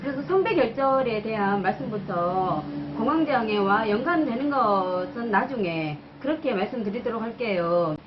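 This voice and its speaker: A woman's voice: a little high, animated, normal speed, hardly any pauses, highs cut off, noisy background. A woman channel host giving an explanatory personal talk in an interview-style format.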